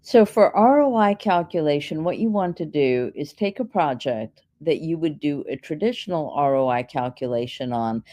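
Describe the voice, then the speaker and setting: Female keynote speaker, deep and soft-toned, instructional Q&A delivery, walks through points methodically. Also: expressive, normal speed, hardly any pauses. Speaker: keynote speaker answering audience questions. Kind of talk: explanatory conference Q&A.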